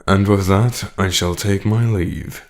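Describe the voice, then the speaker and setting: Audio drama vampire lord: very low, slow, gravelly, smugly theatrical menace. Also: male voice, steady tone, very noisy. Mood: smug gloating.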